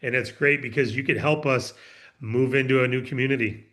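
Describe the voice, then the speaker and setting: A man's voice: medium pitch, fairly even intonation, a little fast, fairly bright tone. Friendly man explaining business details. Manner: friendly, explanatory, talks in long runs. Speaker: company presenter answering broker questions. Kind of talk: business Q&A on a video call.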